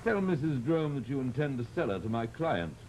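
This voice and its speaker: Radio villain, male actor: coldly polite, formal, insinuating, very animated intonation, old noisy recording. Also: mid-range voice, fairly quick, close to an everyday voice. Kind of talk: old-time radio drama acting.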